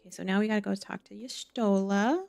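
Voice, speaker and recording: Chatty woman streamer with creaky voice. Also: medium pitch, fast talker, darkish tone, dry recording. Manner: chatty, playful, greets viewers. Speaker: live game streamer. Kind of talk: casual livestream chat, reading game dialogue aloud. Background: faint background music.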